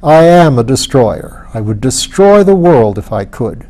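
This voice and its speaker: A man's voice: mid-range voice, big pitch swings, measured pace, warm tone. A man reading a storybook, voicing a bitter, defiant anarchist who declaims with passion against the powerful. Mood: hateful. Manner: impassioned, declamatory.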